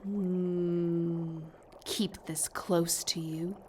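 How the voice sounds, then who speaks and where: A woman's voice: fairly low pitch, flat delivery, extremely slow, a little mellow, slight background noise. A woman playing an imperious, irritable older mad scientist in an audio drama, speaking in portentous phrases. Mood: cryptic, brooding. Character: stern, cryptic older female mad scientist.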